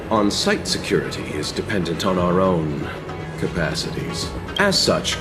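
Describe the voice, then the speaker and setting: An actor playing a courteous, composed wandering merchant in a video game, speaking formally and politely. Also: male voice, low voice.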